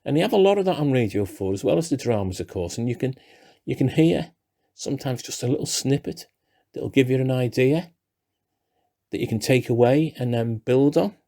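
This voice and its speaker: A man in his sixties with a Liverpool accent, speaking in a relaxed, chatty way with dry self-deprecating humour. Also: medium pitch, brisk, gravelly. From Liverpool, England. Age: 60s. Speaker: playwright. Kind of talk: relaxed talking-head interview.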